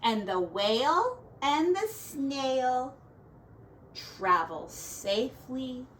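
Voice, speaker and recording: A woman's voice: high voice, sing-song, very slow, a bit bright. A woman teacher reading a picture book aloud to children in a sing-song, dramatic way. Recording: distant mic, faint background noise.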